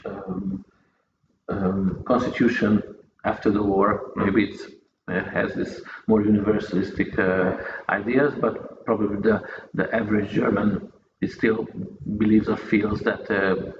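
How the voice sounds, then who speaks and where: A man talking casually at a table, halting and restarting his sentences often. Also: on the low side, gravelly. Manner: halting, many restarts and repeated words. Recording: echoey, dull room sound with faint noise.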